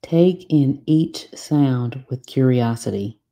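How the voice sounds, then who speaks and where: A woman leading a guided meditation from a script, giving soothing, unhurried instructions with long pauses. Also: rumbling low, dark tone.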